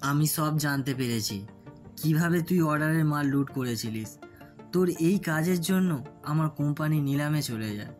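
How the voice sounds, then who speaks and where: A man's voice: on the high side, moderate pace, lightly acted. A man playing an earnest, hardworking business partner in a cartoon story. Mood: bitter, accusing. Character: earnest, hardworking male business partner, wronged by his friend. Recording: music under the voice.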